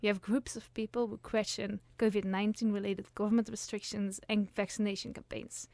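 A woman's voice: medium pitch, flat delivery, fairly quick, darkish tone, dry recording. A young woman in her twenties from the Netherlands hosts a student radio show, reading a scripted intro with small slips. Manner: reads from a script, some hesitations. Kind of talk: scripted intro and casual chat.

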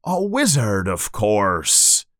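A huge, gruff giant from a storybook, acted out by a man. He is kindly but quick to flare up, speaking slowly with big swings in pitch, in a close, dry sound. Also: huge pitch swings, dry recording, full character voice. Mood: earnest. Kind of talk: storybook character voice.

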